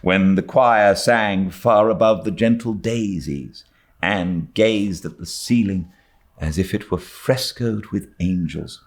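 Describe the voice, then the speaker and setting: A man with a British accent reading a classic novel aloud as an expressive audiobook narrator. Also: low voice, slow. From Britain. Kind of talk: expressive literary story narration.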